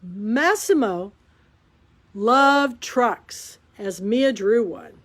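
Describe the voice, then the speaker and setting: A woman reading a children's picture book aloud at storytime, slowly and with lots of expression. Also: a little high. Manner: slow, expressive read-aloud delivery. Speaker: storytime reader.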